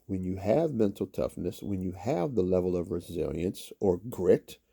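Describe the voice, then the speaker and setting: A man's voice: low voice, expressive, normal speed, gravelly, warm tone, slightly rough. A man hosting his own channel, giving a motivational talk to camera and repeating phrases for emphasis.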